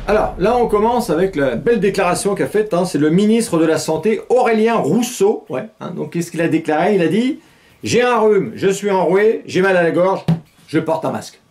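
A man's voice: high voice, moderate pace, soft background music. A middle-aged man hosting a satirical news show in a small studio, talking casually and mockingly, laughing often between jokes. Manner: sarcastic, laughs often, animated gestures. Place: small studio with green-screen news set.

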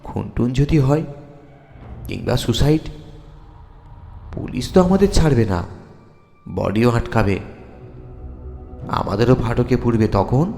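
A man narrating a ghost story in a theatrical, deliberate way, with dramatic pauses. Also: medium pitch, expressive, vocal fry, warm tone. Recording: long reverb with music underneath. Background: music.

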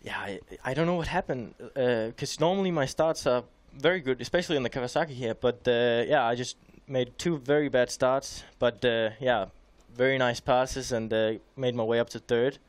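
A young man guesting on a studio show as a motocross rider, candid and halting, with many restarts, on a headset mic in a small TV studio. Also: mid-range voice, no room sound. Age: young adult.

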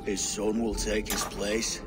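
Slow, solemn old hermit. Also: male voice, fairly low pitch, little pitch movement. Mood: grave. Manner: solemn, deliberate, cryptic. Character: grave blind exiled hermit craftsman, stern old man. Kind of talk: dramatic video game acting. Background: music.

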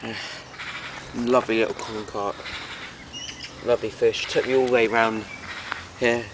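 A man's voice: mid-range voice, normal speed, distant mic, noisy background. A young man plainly describing the fish he has caught, filmed outdoors by a pond on a handheld camera. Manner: flat, plain, describes his catch. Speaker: angler vlogger. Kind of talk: casual fishing commentary.

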